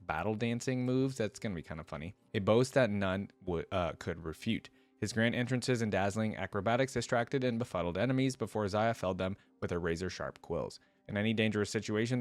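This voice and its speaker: Male reaction host, lower register, dry sound, narrating a written story with informal asides. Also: dry recording. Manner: informal asides with frequent "like" and "right?". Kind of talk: reading a story aloud with casual commentary.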